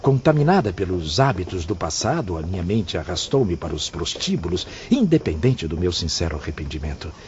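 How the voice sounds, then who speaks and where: A man's voice: sits low, big pitch swings, fairly quick, few pauses, darkish tone. A man with a Brazilian accent playing an anguished, repentant spirit in an audiobook drama, with grave, theatrical, formal diction. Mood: pained, regretful. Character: anguished, repentant male spirit confessing past vices. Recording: dull audio, faint ambient noise.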